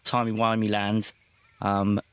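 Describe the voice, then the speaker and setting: A man's voice: on the low side, monotone, a little slow, fairly bright tone, no echo. A man running a tabletop role-playing game as game master, narrating scenes as he improvises them. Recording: clear background noise.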